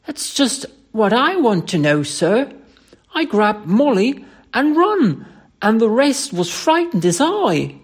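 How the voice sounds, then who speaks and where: A reader voicing a young Cockney ex-soldier servant in an audiobook story, rough-spoken, emphatic and deferential. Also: medium pitch, sing-song, slow, pitched above the performer's own voice, full character voice. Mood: embarrassed, earnest.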